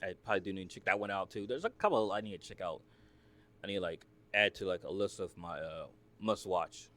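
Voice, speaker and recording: A man's voice: low-pitched, a little fast, pushed and bright. A man from the United States hosting a reaction video, talking casually in a rambling, filler-heavy, chatty way.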